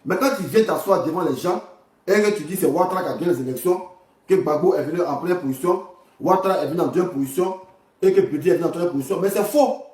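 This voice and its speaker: A man's voice: fairly high pitch, fast, darkish tone. A man giving informal political commentary, speaking straight to viewers in an indignant, repetitive way. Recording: roomy, distant mic.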